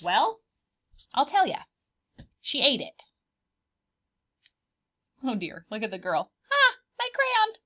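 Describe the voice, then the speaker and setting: A woman reading a picture book aloud for preschoolers, expressive and dramatic, pausing to put questions to the children. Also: medium pitch, slightly rough. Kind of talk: animated children's storybook read-aloud with asides.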